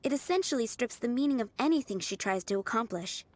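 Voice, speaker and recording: A woman voicing a kind, earnest young townswoman with a gentle, explanatory manner in a video game. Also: on the high side, lively intonation, fast talker, natural voice. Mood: earnest, caring. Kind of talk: game dialogue.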